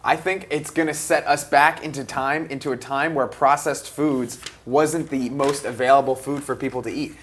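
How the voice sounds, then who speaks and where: A man co-hosting an online pitch show, talking with enthusiasm and restarting with fillers. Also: steady tone, moderate pace, few pauses, a bit bright, slight background noise. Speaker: co-host. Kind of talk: casual chat.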